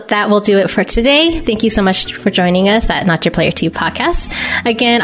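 Female podcast co-host, mid-pitched, blunt and breezy, casual delivery, music underneath. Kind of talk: casual chat on a live-streamed podcast.

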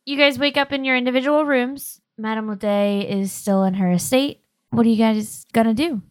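A woman's voice: a little high, normal speed, dry recording. A woman narrating a tabletop roleplaying game as game master in an informal, conversational way.

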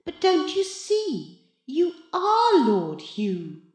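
Woman voicing a smug, drawling, high-pitched cat-turned-boy. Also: wildly swooping pitch, darkish tone, echoey room, exaggerated voice. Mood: smug taunting. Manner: drawn-out, mock-polite, taunting. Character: smug, gloating cat who has become a boy. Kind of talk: children's storybook character reading.

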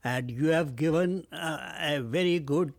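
A man in his seventies with a Pakistani accent, a native Urdu speaker, answering his son's interview questions in a halting, formal way full of fillers. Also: a little high, gravelly, dry recording. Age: 70s. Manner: hesitant, halting, many fillers, formal phrasing. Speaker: interview guest. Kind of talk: family interview.

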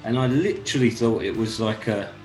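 A man's voice: lowish voice, fairly quick, soft background music. A man from England, a band member guest, telling stories about his band in a casual interview. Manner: animated anecdotes, quotes others.

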